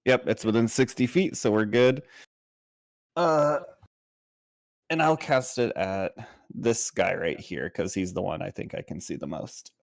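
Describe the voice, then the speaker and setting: A man's voice: fairly low pitch, unhurried, vocal fry, no echo, clean studio sound. A man chatting casually as a player at a tabletop role-playing session. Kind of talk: casual game-table chat.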